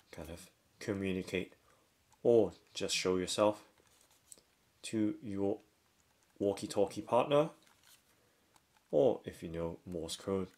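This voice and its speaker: A man with a low, creaky voice reviews a product hands-on. He talks very slowly and casually, going step by step in short, halting phrases. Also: darkish tone. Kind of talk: casual unboxing and hands-on demonstration.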